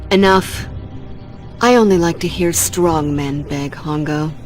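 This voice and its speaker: A woman's voice: deep voice, takes it slowly. A woman playing a haughty, ruthless crime heiress in a game cutscene, imperious, cutting and contemptuous. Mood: cold, disdainful. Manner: imperious, foul-mouthed, cutting. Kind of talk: dramatic video game cutscene acting. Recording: background music under the voice.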